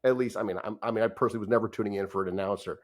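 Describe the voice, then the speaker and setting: A man in his fifties from New Jersey hosting a podcast, chatty and quick to laugh, often restarting and trailing off mid-thought. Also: fairly low pitch. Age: 50s. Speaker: podcast host. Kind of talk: casual podcast chat.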